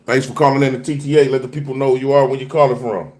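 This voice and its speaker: A male call-in host with an encouraging, familiar way of speaking, tossing "man" and "brother" into almost every line. His voice sits at a middling pitch. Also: flat delivery, rapid-fire, a little mellow. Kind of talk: call-in show hosting.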